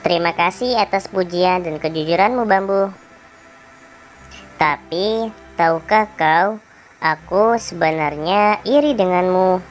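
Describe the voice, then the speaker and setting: A male teacher voicing a gentle, wise female rose flower in a falsetto while reading a children's fable. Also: measured pace, long pauses, faint music behind. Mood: kindly explaining. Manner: falsetto, kindly and explaining.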